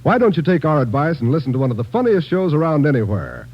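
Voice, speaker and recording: Casting: male network announcer, mid-pitched with animated intonation, scripted old-time radio delivery, muffled recording. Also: fast talker, breathy edge. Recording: band-limited old radio recording with music.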